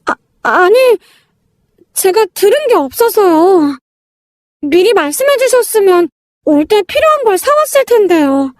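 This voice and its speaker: Young daughter-in-law read by a woman, very high voice, courteous, hesitant, deferential, dry studio-close sound, story drama. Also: sing-song, a little slow, darkish tone, no room sound, full character voice. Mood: flustered.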